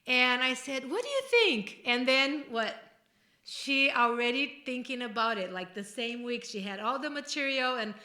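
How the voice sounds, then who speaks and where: A middle-aged woman with a Brazilian accent speaks in a high voice, rambling earnestly as she co-hosts a casual podcast. Her tone is bright and the room adds a clear echo. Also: expressive, moderate pace. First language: Portuguese. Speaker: podcast host. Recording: roomy, echoey room sound.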